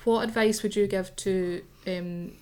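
A woman co-hosting a podcast, asking interview questions in a measured, thoughtful way. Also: mid-range voice, fairly even intonation, a little mellow. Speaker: podcast co-host.

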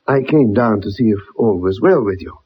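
Earnest, husky young friend. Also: male voice, fairly low pitch, lively intonation, normal speed. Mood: earnest, concerned. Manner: earnest, concerned. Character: earnest, loyal young British army friend. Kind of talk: 1960s radio drama acting. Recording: muffled, dull old radio recording.

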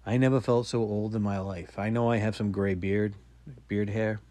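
A man in his forties with a low voice rambles wryly through everyday stories on his solo podcast. He mocks himself and swears casually. The sound is dry and close. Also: steady tone, normal speed, mellow, no room sound. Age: 40s. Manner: rambling, wry and self-mocking. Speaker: podcast host.

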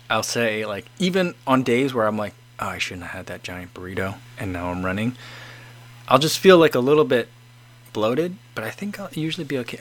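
A man's voice: lowish voice, fairly bright tone. A man in his forties casually chatting as host, reading out viewer comments and rambling with many restarts. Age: 40s.

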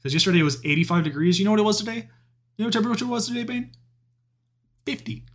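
A young man, co-hosting a podcast in casual chat, animated, laughing often and swearing. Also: high-pitched, quick pace. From Texas. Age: 20s. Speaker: podcast co-host.

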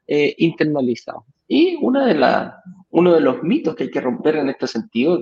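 A Chilean man co-hosting a live stream remotely, explaining at length in a rambling, halting way with repeated words. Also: fairly high pitch, vocal fry, darkish tone. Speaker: co-host, sales director. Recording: roomy, dull remote audio.

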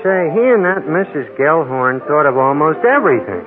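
An American actor playing a folksy, inquisitive, simple-minded bartender in a 1940s radio crime drama. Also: male voice, on the high side, animated, normal speed, natural voice. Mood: folksy, musing. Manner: folksy, colloquial grammar. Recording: tinny old radio, loud music behind.

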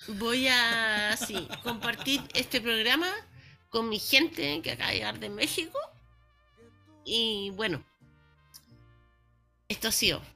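A woman's voice: on the high side, slow, long pauses, bright, forceful tone, faint music behind. A woman hosting a casual radio chat, joking with her guests. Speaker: radio host.

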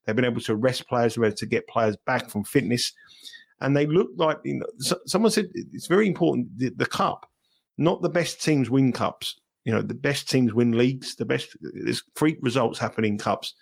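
A man's voice: mid-range voice, normal speed, gravelly. London man talking football bets. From England. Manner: chatty, animated football analysis. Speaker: guest betting analyst. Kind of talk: podcast interview.

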